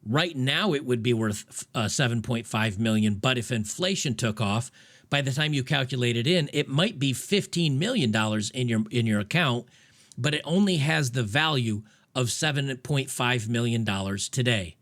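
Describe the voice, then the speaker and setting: A man talks through a casual commentary monologue at an ordinary, middle pitch. He is emphatic and rambling, often restarting his sentences as he pushes his point. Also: expressive, moderate pace, a bit bright. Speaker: podcast host.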